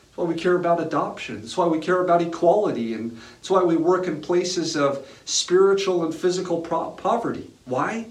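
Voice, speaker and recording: A man's voice: fairly high pitch, animated, moderate pace. A man preaching as a pastor in a casual, colloquial sermon style, telling stories and repeating phrases for emphasis. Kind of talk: sermon with conversational storytelling and humor. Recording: roomy, echoing sound.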